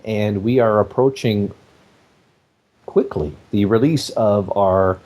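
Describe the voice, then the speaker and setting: Animated husky man hosting a podcast. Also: medium pitch. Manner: animated, rambling with fillers. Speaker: podcast host. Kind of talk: casual podcast chat.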